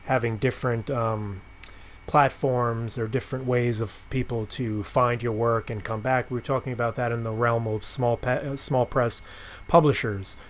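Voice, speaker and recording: A man hosting a podcast, chatting casually and haltingly as he rephrases his way through questions and remarks. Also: medium pitch, fairly even intonation, slight background noise. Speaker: podcast host interviewing a writer. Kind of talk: casual conversational interview.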